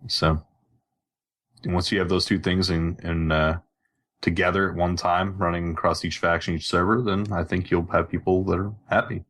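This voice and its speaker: A man's voice: deep voice, little pitch movement, normal speed, fairly bright tone. A man hosting a casual gaming podcast, chatting informally. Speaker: podcast host.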